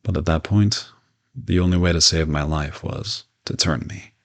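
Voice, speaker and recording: A man playing a gentle, courteous old vampire in an intimate audio roleplay, reassuring and lightly teasing as he speaks to the listener. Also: low voice, moderate pace, gravelly. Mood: wry, reminiscing. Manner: soft-spoken reassurance, politely formal with teasing asides.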